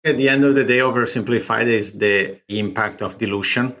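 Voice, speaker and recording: A man's voice: mid-range voice, a little fast, fairly bright tone. A man from Italy with an Italian accent, a startup founder talking through his fundraising in an interview, explaining figures step by step. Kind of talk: recorded interview.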